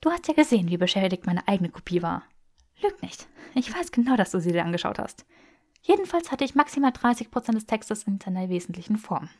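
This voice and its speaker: A woman's voice: mid-range voice, big pitch swings, fairly quick, a little mellow. A woman voicing an eager, bookish young woman for an audiobook, breathless and emphatic as she gushes. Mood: excited, insistent. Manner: breathless, gushing, emphatic delivery. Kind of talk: acted audiobook dialogue.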